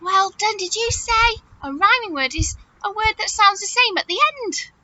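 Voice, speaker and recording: A woman reading a story aloud to young children like a nursery teacher, in an animated, exaggerated manner, often putting questions straight to them. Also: extremely high pitch, normal speed, dull audio.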